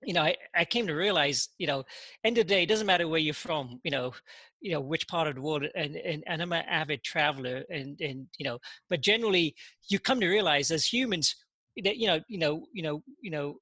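A man, a podcast guest telling his story in casual interview talk, halting and full of "you know" and repeated words. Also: fairly high pitch, bright, forceful tone, no room sound. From England.

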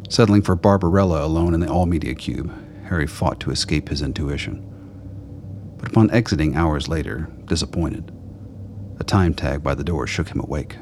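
An extremely deep male voice with faint background noise delivers scripted narration. He is the storyteller of a radio play. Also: normal speed, a little mellow.

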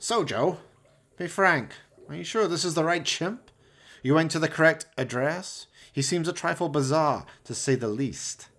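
A man's voice: fairly high pitch, moderate pace, fairly bright tone, pitched above the performer's own voice. A man voicing an evil mad scientist in a radio play, pompous and scheming, with formal theatrical phrasing. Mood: doubtful, disdainful.

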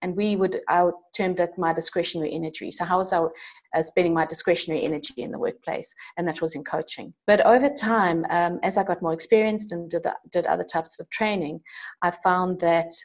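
South African woman, low and thoughtful. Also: steady tone, brisk. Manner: thoughtful, with frequent ums and restarts. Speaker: internal coach and change manager, guest. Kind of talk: conversational interview answers.

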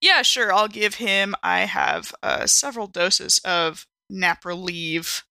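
A woman's voice: mid-range voice, sing-song, slow pace, bright, forceful tone, no room sound. A woman player in a tabletop game, chatting casually as she describes what her character does.

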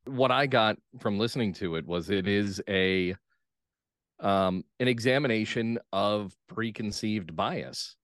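An American man speaking as a playwright guest in an interview, analytical, measured and thoughtful. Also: on the low side, a bit bright, no room sound, clean studio sound. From United States.

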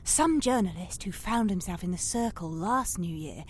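A woman's voice: medium pitch, animated, normal speed, a little mellow, a little hiss or hum, close to an everyday voice. A British woman playing a well-bred, self-conscious young Victorian lady in an audio drama, speaking politely and formally with some hesitancy. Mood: embarrassed, earnest. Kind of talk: audio drama acting in period English.

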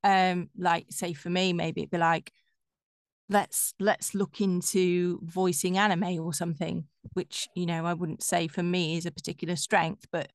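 A woman's voice: fairly low pitch, level tone, measured pace, dry recording, clean studio sound. A middle-aged woman with a Yorkshire accent, a voiceover artist chatting casually as an interview guest. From Yorkshire, England.

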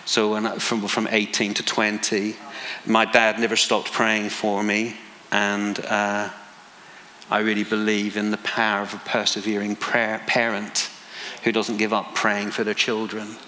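A man's voice: fairly low pitch, monotone, unhurried, bright, forceful tone. A man in his forties with a Northern Irish accent, preaching a conversational sermon that builds to passionate emphasis, with self-deprecating asides. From Northern Ireland. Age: 40s. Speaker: pastor. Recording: long hall reverb.

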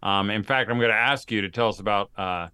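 A man with a bright, forceful and very clear voice at a middling pitch. He co-hosts casually, leaning on words for emphasis and pausing dramatically, in a dry recording. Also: no room sound. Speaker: podcast co-host.

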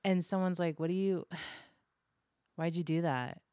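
Deep-voiced woman, chatty podcast guest. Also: steady tone, slow, dark tone, clear, resonant tone, no room sound, studio quality. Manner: chatty, self-mocking, swears, laughs often. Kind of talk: casual podcast chat.

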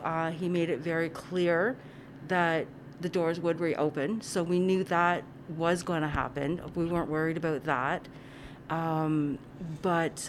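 A woman's voice: on the low side, slight background noise. A woman who works at a cinema, chatting casually as an interviewee.

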